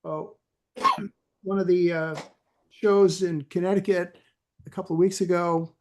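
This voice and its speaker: A man, a club member, chatting casually on a conference call, halting and searching for words. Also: high-pitched, little pitch movement, background noise. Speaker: club member, classic car owner. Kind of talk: casual chat.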